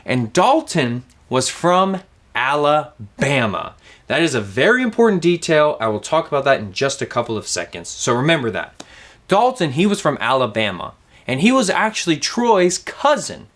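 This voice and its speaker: A man's voice: a little high, moderate pace. A young man in his twenties from Louisiana with a Southern accent, teaching a playful kids' object lesson with lots of questions. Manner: animated, playful, asks questions. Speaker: kids ministry teacher.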